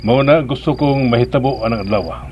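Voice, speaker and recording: A man's voice: medium pitch, fairly quick, a little mellow, a little hiss or hum, lightly acted. Man acting composed bridegroom with Cebuano Filipino accent. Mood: instructing, calm. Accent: Filipino, Cebuano-speaking. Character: composed, well-meaning bridegroom giving instructions, a respected sir. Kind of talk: Philippine radio drama acting.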